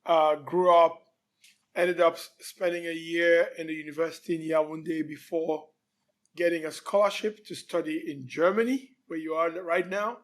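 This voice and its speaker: A man in his fifties from Cameroon, telling his life story as a guest in a relaxed interview, pausing often. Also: a little high. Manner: relaxed storytelling, frequent pauses with um. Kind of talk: conversational interview.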